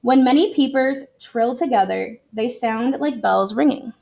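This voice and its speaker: Female teacher, slightly high pitch, reading aloud and explaining clearly to young children. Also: measured pace, darkish tone. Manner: explanatory, addressing young learners. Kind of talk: expressive read-aloud for children.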